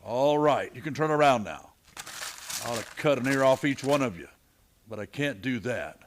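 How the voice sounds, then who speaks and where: A man's voice: mid-range voice, lively intonation, normal speed, slight background noise, close to an everyday voice. A man playing a stern but fair frontier marshal in a Western radio play, terse and commanding.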